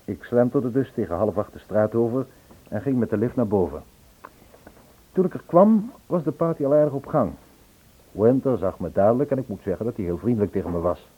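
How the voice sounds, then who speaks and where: A man's voice: medium pitch, lively intonation, brisk, breathy edge. Suave romantic man, tinny radio sound. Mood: reminiscing. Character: charming, impulsive man telling of his whirlwind romance. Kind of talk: Dutch radio play, narration and dialogue. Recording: tinny, band-limited old radio recording.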